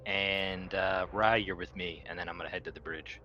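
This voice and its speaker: Male roleplaying gamer, low-pitched with a bright tone, casual delivery as he speaks for his character. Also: fairly even intonation, normal speed, highs cut off, a little hiss or hum. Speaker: player in a tabletop roleplaying game. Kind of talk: casual in-character game talk.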